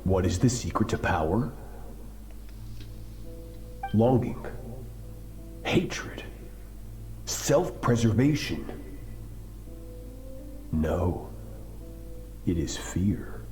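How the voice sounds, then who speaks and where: An actor playing a cold, merciless sword master in a video game, speaking in a solemn, commanding and deliberate manner. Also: male voice, lowish voice, mellow, echoey room. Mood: ominous. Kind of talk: dramatic video game voice acting.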